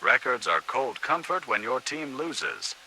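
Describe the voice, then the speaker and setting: A man's voice: mid-range voice, big pitch swings, measured pace, sharp tone, slight background noise, low-quality recording. A man with an American accent reading scripted news and sports as a 1950s radio host, with a dramatic, punchy announcer delivery.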